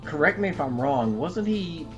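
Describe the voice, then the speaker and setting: A man's voice: fairly high pitch, animated, moderate pace. A man reminiscing casually on a group call. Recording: dull lo-fi call, guitar music behind. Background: guitar music.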